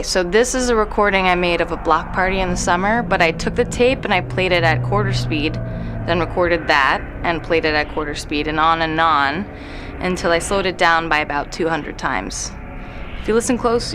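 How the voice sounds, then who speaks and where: A woman's voice: fairly low pitch, moderate pace, lightly acted. A woman playing a jaded, self-deprecating night-owl composer in an audio drama, rambling bluntly with sardonic, slangy swearing. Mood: eager, defensive. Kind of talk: naturalistic audio drama interview acting. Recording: noisy room recording.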